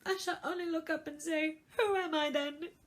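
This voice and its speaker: A woman's voice: high-pitched, fairly even intonation, normal speed, voice pushed up, natural voice. A woman voicing a fretful, puzzled young girl in a storybook, with a plaintive, dramatic manner. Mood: tearful, despairing. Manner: plaintive, dramatic, self-questioning.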